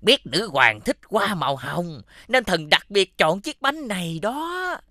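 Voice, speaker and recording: A woman's voice: medium pitch, sing-song, slow pace, bright, forceful tone, no echo, clean studio sound, heavily acted. A woman with a Southern Vietnamese accent voicing a crafty, malicious mantis henchman in a children's cartoon. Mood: fawning.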